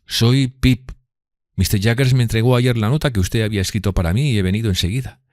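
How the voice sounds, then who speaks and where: A man voicing an earnest, courteous young gentleman in an audiobook, with a polite and sincere manner. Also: medium pitch, brisk, dry recording, clean studio sound, pitched above the performer's own voice, natural voice. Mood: earnest, reassuring. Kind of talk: audiobook character reading.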